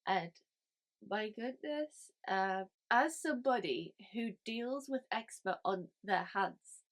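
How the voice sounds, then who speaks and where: A woman's voice: mid-range voice, animated, slow. A woman who is ill with a cold, chatting casually about a story she read, rambling with many fillers and restarts. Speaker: book review host. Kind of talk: casual book review chat.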